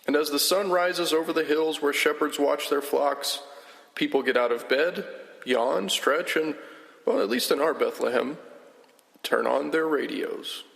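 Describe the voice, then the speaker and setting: Gravelly man narrating on stage. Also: a little high, a bit bright, long reverb tail. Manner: measured storytelling. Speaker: host. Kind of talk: scripted narration. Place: stage before a live audience.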